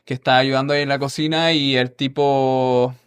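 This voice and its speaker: A man's voice: medium pitch, level tone, a little fast, a bit bright, smooth. A man with a Chilean accent, a podcast guest chatting in an easygoing way and readily agreeing with others. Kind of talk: casual conversational interview.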